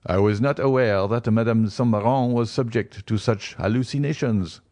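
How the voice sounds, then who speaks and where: A man reading an audiobook, voicing a kindly, calm older male physician who speaks slowly and soothingly. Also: lowish voice, no echo, lightly acted. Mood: gentle. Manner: slow, soothing, measured.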